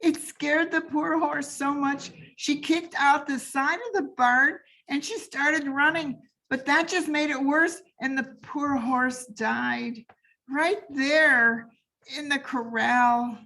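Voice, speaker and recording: A woman's voice: high voice, steady tone, takes it slowly, bright, forceful tone, full character voice, poor audio. A woman playing an eager, flustered house sitter in an old-time radio comedy. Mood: flustered, apologetic.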